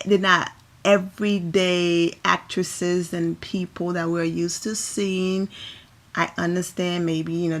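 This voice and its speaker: A woman's voice: fairly low pitch, fairly bright tone. A woman from Liberia talking through red-carpet fashion in a chatty, enthusiastic, home-recorded commentary. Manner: chatty, enthusiastic, apologetic asides. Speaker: fashion vlogger. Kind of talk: casual commentary.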